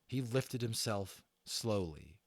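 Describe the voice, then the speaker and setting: Animated, theatrical male storyteller. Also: on the low side, creaky, no room sound. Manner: theatrical, emphatic, with dramatic pauses and stresses. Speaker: audiobook narrator and channel host. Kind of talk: dramatic read-aloud storytelling of a children's novel.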